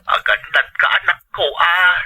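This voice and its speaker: Sharp, high-pitched elderly Ilocano father. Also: lively intonation, moderate pace, breathy edge, exaggerated voice. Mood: tender, grateful. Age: older. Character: elderly father, tender and grateful, on a phone call. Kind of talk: Ilocano radio drama acting. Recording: thin, band-limited telephone-style sound.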